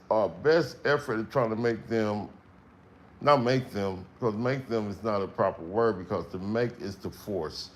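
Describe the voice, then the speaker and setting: A middle-aged man with a strong, carrying voice, speaking slowly and emphatically in a casual livestream monologue with long pauses. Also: on the low side, slight background noise. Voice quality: voice that carries, strong tone. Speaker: livestream host.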